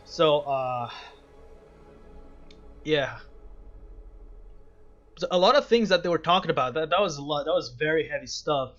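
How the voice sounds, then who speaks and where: A man's voice: fairly high pitch, expressive, unhurried, pauses often, a bit bright, lo-fi sound, soft background music. A man hosting a casual game playthrough, talking reflectively and often repeating his phrases as he goes. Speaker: gaming video host.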